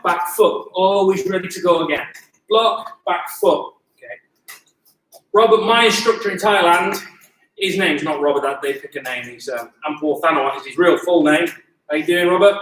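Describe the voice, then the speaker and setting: High-voiced man coaching in echoey gym. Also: fairly bright tone, recorded from a distance. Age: 40s. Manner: drills moves with short repeated cues, self-deprecating asides. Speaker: Muay Thai coach. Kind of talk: live online class instruction.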